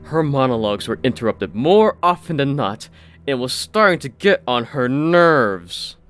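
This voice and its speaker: Lively male fantasy story narrator. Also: mid-range voice, a little slow, a little hiss or hum. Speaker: audiobook narrator. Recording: faint music behind the voice.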